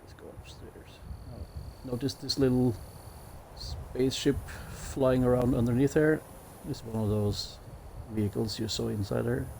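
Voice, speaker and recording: A man's voice: medium pitch, steady tone, unhurried, creaky, warm tone, slight background noise, rough recording. A man with a Norwegian accent gives easygoing, chatty commentary as a game streamer, with self-mocking jokes. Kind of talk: casual commentary while playing a building game.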